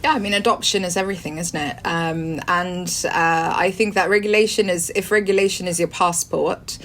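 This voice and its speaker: A Swedish-born woman in her forties whose accent is British, speaking in a somewhat low voice. She answers interview questions in long, meandering turns, often stopping to restart with an um or uh. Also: steady tone, normal speed, a bit bright, slight background noise. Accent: British English. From Sweden. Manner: hesitant, many ums and restarts. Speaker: guest.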